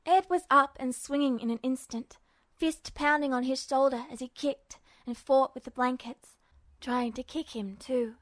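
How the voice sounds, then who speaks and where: A woman reading fiction aloud as an expressive, scripted audiobook narration. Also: high voice, normal speed, no room sound. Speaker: fan fiction audiobook reader.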